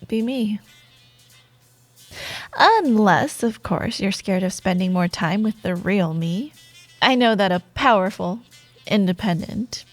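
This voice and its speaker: A woman playing a proud, teasing yet wistful rival coworker in an intimate ASMR romance roleplay monologue, with playful banter, sighs and soft pauses. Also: a little high, dry recording. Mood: hopeful, sincere, then playful, smug. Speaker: audio roleplay performer.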